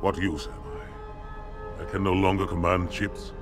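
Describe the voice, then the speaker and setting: Man as very deep, somber alien warrior. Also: little pitch movement, normal speed, lightly acted. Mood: somber, bitter. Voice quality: gravelly. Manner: formal, grave, terse. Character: disgraced male alien warrior, proud and somber. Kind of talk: video game cutscene acting. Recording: loud music under the voice.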